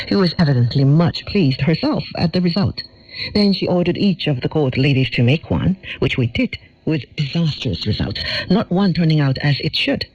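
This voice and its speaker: A man narrates a written story aloud in a formal, measured storytelling style. His voice sits a little high and moves through very animated rises and falls. The sound is dull and lo-fi, like a shortwave radio recording. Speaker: narrator reading a memoir aloud. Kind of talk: scripted narration, audiobook reading.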